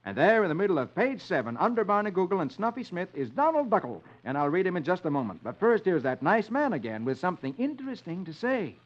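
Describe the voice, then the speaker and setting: Male 1950s radio funnies host, very expressive intonation, higher-pitched, dull vintage sound with music. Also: fast, few pauses. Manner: dramatic storyteller. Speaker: host and narrator reading comic strips to a child. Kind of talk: 1950s children's radio narration. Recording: muffled old radio recording, music behind.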